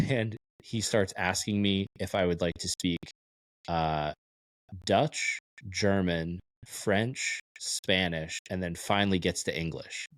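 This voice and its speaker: Very deep-voiced man telling travel stories. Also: slow, no room sound, rough recording. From Texas. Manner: animated storyteller, acts out quoted exchanges. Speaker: podcast co-host. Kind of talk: casual chat.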